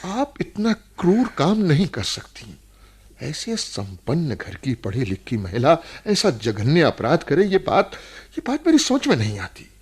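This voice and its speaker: An actor playing an earnest, probing playwright visiting a prison in a radio drama, speaking with emotion, insistence and dramatic pauses. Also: male voice, on the high side, huge pitch swings, a little mellow, breathy edge, full character voice. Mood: agitated, insistent.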